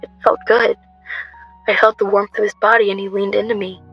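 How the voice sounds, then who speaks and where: A woman's voice: medium pitch, little pitch movement, brisk, husky, dull audio, soft background music. A woman playing a frightened young woman on the run, narrating and speaking in a radio drama. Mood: reflective. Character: Eleanor, frightened young woman on the run, telling her story. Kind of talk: first-person radio drama narration and dialogue.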